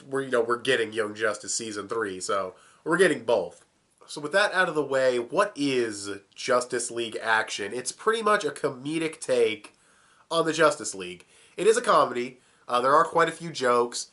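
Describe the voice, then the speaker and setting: A man's voice: mid-range voice, bright, forceful tone. A young man with a cold, sounding plugged up, casually reviewing a cartoon, swearing and chuckling as he talks. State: sick, plugged up. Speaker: video reviewer.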